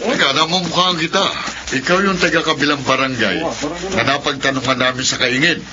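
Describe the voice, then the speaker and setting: Authoritative village captain by an actor, dull radio sound. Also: male voice, mid-range voice, fairly quick, background noise, natural voice. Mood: warning. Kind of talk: radio drama acting. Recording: dull radio audio under loud music.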